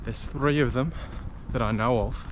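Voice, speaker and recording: A man's voice: mid-range voice, normal speed. A man vlogging as he hikes outdoors, chatting casually to viewers as he walks along rural roads. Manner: relaxed, chatty, talks to viewers while hiking. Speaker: travel vlogger. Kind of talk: casual walking commentary. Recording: noisy outdoor camera audio.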